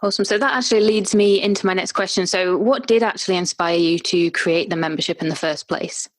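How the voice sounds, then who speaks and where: A woman's voice: on the low side, brisk, dry recording, poor audio. A woman hosting a podcast interview, encouraging her guest and asking follow-up questions. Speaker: podcast host.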